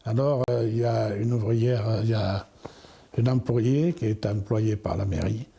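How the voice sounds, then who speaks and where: Man, plain-spoken villager, very dark tone, husky. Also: fairly low pitch, steady tone, normal speed. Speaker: interviewed villager.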